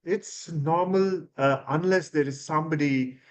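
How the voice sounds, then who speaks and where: ENT doctor from India, higher-pitched man. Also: a little slow. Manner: explanatory, hesitant with fillers and restarts. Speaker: ENT surgeon guest. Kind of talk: expert interview answers.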